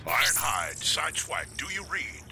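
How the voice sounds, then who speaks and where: An actor playing a robot war leader in a video game, speaking with measured, commanding authority. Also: male voice, medium pitch, wildly swooping pitch. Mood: grave, commanding. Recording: game music behind voice.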